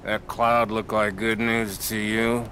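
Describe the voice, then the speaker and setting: An actor playing an uneasy, plain-spoken outlaw in a video game, with a slow American drawl. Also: male voice, fairly low pitch, level tone, sharp tone, a little hiss or hum. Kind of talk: video game cutscene acting.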